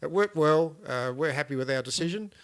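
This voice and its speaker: A man hosting a vlog, chatting casually in a relaxed, rambling way with lots of fillers and restarts. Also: fairly high pitch, fairly quick, clean tone. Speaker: vlog host. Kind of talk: casual chat.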